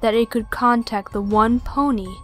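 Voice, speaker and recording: A woman's voice: fairly high pitch, fairly even intonation, normal speed, a bit bright, soft background music. A woman reading scripted, descriptive fantasy narration for an audio play.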